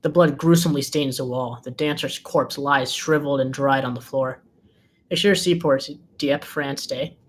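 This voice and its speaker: Narrator for a screenplay table read: medium pitch, reads scene headings and action lines as scripted narration. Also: steady tone, moderate pace. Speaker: narrator reading stage directions.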